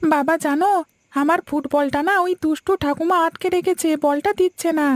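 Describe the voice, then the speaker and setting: Woman as a very high-pitched, pleading little boy. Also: fairly even intonation, normal speed, no room sound, studio quality, close to an everyday voice. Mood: complaining. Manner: pleading, protesting. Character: young village boy, pleading, argumentative, keen on football. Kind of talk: cartoon voice acting.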